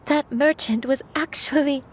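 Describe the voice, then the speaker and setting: A woman's voice: high voice, steady tone, measured pace, a little hiss or hum. A woman voicing a remorseful, secretive former disciple in a video game, earnest and wistful. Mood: stunned.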